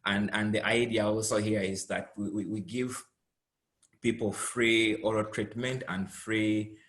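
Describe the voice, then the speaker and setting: Low-voiced Ugandan man explaining his work. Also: flat delivery, a little slow, fairly bright tone. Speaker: wildlife conservationist, panelist. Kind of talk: explaining project in online panel. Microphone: video call.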